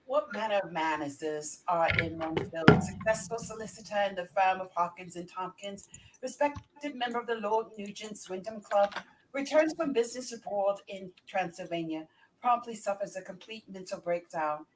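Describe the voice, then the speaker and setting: A woman's voice: medium pitch, normal speed, far from the mic, a little hiss or hum, close to an everyday voice, poor audio. A woman playing an earnest, probing male asylum doctor in a gothic horror play. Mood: grave, musing. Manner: formal, questioning. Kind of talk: amateur script read-through of a gothic horror play.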